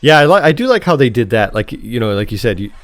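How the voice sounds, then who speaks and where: A man's voice: mid-range voice, sing-song, fast talker, a bit bright, dry recording. A man co-hosting a podcast, chatting informally and enthusiastically like a fan. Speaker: podcast co-host. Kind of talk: casual chat about TV shows.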